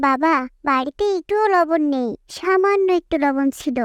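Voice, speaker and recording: A woman's voice: high voice, moderate pace, dry recording, lo-fi sound, lightly acted. A woman voicing an earnest, sensitive little girl in a cartoon. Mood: earnest, sweet. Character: earnest, sensitive little village girl, devoted daughter and sister. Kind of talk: cartoon character acting.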